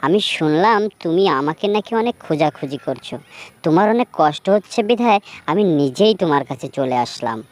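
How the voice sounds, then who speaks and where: A woman's voice: low voice, animated, fairly quick. A woman voicing a sly, cunning trickster fox in a cartoon fable, with a wheedling and scheming manner. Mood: pleading. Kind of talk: animated fable character voice acting.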